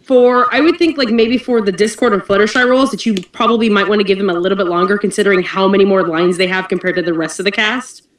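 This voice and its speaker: A woman's voice: medium pitch, quick pace, few pauses. A woman making suggestions in a casual online group discussion. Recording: distant echoey voice call.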